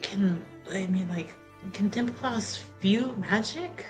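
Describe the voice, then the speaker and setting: A woman's voice: medium pitch, takes it slowly, soft background music. A woman improvising casual, slangy in-character banter as a player in a tabletop role-playing game. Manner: slangy, informal.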